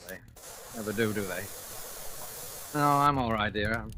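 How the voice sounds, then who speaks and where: A man's voice: on the low side, moderate pace, sharp tone. An actor playing an anxious, worn-down male diner owner who falters and placates, in a 1940s radio drama style. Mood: distraught. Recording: hissy vintage radio broadcast.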